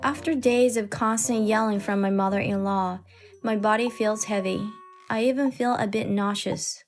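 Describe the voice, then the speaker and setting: A woman's voice: mid-range voice, steady tone, normal speed. Woman narrating a story over music. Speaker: narrator, speaking as a put-upon wife. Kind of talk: scripted first-person story narration. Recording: music bed under the voice. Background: background music.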